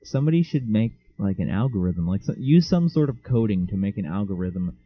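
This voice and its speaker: Young guy joking around on a podcast, low-ish voice, tinny phone-like audio. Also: very animated, fairly quick, breathy edge, no room sound. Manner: joking, offhand, hesitant restarts. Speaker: podcast co-host. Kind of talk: casual chat.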